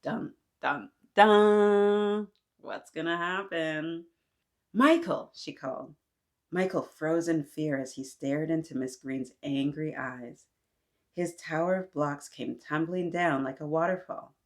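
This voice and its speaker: Female storytime host, slightly low voice, expressive storytelling with chatty asides for young children. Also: takes it slowly. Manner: animated asides to young listeners.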